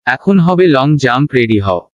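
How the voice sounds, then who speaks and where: A man's voice: medium pitch, sing-song, measured pace, loud music behind the voice. Casting: man as blustering cartoon animal sports coach, instructing and full of himself, muffled recording. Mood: bossy instructing. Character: boastful, bumbling male animal sports coach, secretly timid. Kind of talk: children's cartoon dialogue.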